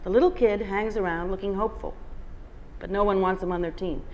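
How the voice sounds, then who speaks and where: A woman's voice: mid-range voice, fairly even intonation, fairly quick, slight background noise, rough recording. A woman reading a novel aloud at a bookstore, telling the first-person story with expression. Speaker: guest reader. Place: bookstore reading before an audience.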